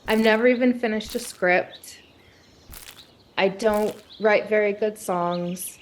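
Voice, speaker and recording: Woman thinking aloud in casual, halting phrases. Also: medium pitch, a little hiss or hum. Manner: halting, conversational, frequent hedging fillers. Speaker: guest being coached.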